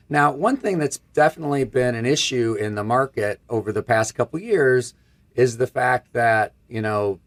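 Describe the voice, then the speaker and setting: A man in his fifties from Michigan with a scratchy voice, hosting a technical live stream in an upbeat, chatty way. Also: mid-range voice. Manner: upbeat, chatty, gestures while talking. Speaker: host. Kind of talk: live stream product presentation. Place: room with a brick wall backdrop.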